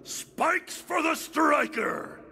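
A man playing a huge raving psycho in a video game, screaming cryptic outbursts in a shrill, strained, gravelly voice. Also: lively intonation, extremely slow, sharp tone, heavily acted. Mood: frantic bellowing. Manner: declaims in short cryptic outbursts. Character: huge raving male psycho, manic and shouting nonsense poetry.

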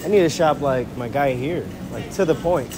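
A man's voice: mid-range voice, moderate pace, music in the background. A man hosting a casual shopping vlog, asking questions and joking in slang. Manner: jokey, slangy. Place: inside a large supermarket. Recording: busy store crowd noise behind.

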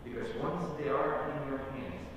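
A man, a pastor, leading a solemn spoken prayer in an earnest, pleading way with halting phrases. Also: highs cut off. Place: church sanctuary, at the pulpit. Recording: echoey church hall from far off, rumbly noise.

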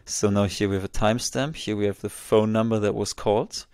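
A man in his twenties giving a casual walkthrough as a software tutorial presenter, close on a desk microphone. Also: fairly low pitch, steady tone, a little fast, clean tone, no echo. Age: 20s. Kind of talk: casual screen-share walkthrough.

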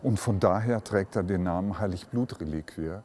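A man's voice: low voice, moderate pace, a little mellow. A man answering an interview question in a plain, explanatory way. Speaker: interviewed expert.

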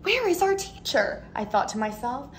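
A woman in her thirties reads a children's picture book aloud like a schoolteacher. Her voice sits fairly high and swings widely in expressive, animated phrases. She is recorded at home with noticeable background noise. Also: moderate pace. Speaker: second grade teacher. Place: living room at home, indoors.

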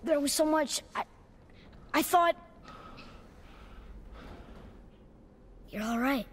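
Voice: high-pitched, moderate pace, background noise, close to an everyday voice. A young performer playing an earnest young boy in a video game, the questioning son of a warrior, with an informal, eager way of talking. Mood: uneasy, earnest. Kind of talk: dramatic video game acting.

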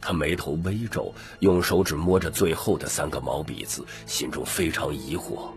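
A man's voice: very deep voice, moderate pace. A man narrating an audiobook story in a dramatic, scripted storytelling style. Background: background music.

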